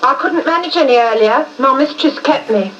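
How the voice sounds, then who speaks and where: High-voiced vengeful widow. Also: female voice, a bit bright, slight background noise. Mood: cold, controlled. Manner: theatrical, bitter, deliberate. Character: vengeful, grief-stricken veiled widow confronting her blackmailer. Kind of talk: 1950s radio drama acting. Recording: muffled distant 1950s radio recording.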